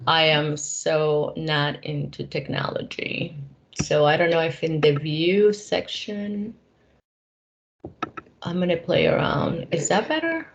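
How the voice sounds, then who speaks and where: A woman's voice: deep voice, slow pace, creaky. A woman informally interviewing a guest over a video call, as the host of the conversation. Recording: dull, lo-fi online call audio.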